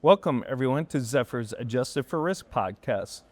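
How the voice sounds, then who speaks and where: A man's voice: medium pitch, expressive, normal speed, heavy background noise. A man hosting a podcast interview, upbeat and friendly, often nodding along with short "yeah" replies. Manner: upbeat, friendly, frequent "yeah" and "mm-hmm" backchannels. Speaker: podcast host. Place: live event recording on location.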